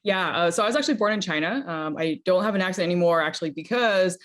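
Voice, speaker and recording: A woman's voice: fairly low pitch, fast, fairly bright tone. A young woman from Utah, chatting as an interview guest, bubbly with lots of ums and small laughs. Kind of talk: casual interview chat.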